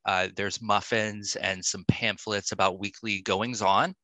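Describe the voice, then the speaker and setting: Man, podcast host, unhurried, crisp bright tone, dry close sound, casual interviewing. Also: lowish voice, flat delivery, dry recording.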